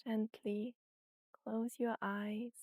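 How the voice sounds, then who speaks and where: A woman narrating a guided meditation and story in an unhurried, soothing way, with long pauses between phrases. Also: on the high side, level tone, darkish tone, no room sound.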